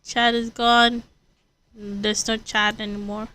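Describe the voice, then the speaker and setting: A woman's voice: fairly high pitch, level tone, takes it slowly, bright, forceful tone. A woman livestreaming a video game, chatting casually and thinking aloud in rambling, repeated phrases. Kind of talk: casual livestream chat while gaming.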